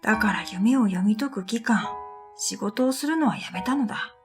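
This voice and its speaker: A woman's voice: medium pitch, lively intonation, moderate pace. A woman narrating a scripted first-person story for a radio drama in an introspective, matter-of-fact manner. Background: music.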